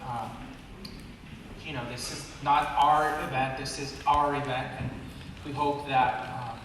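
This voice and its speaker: A man's voice: mid-range voice, flat delivery, measured pace. A man from Mexico making announcements to an audience, hesitant and full of fillers, a bit nervous. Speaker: event organizer. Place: large indoor event hall. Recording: echoey hall, mic far off, room noise.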